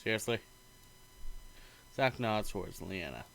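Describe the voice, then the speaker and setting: A man reading a visual novel aloud in an expressive, playful narrating style. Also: lowish voice, a little slow, vocal fry, slight background noise.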